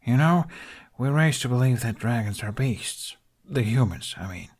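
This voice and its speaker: A man's voice: mid-range voice, expressive, moderate pace, a little mellow, voice pushed up, close to an everyday voice. A man voicing a self-deprecating young man in an audiobook, downcast, wry and given to mumbling. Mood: dejected.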